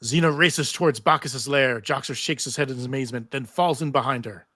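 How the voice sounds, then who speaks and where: A man's voice: medium pitch, brisk, pushed and bright, no room sound, studio quality. A man narrating stage directions in a live table read of a TV script.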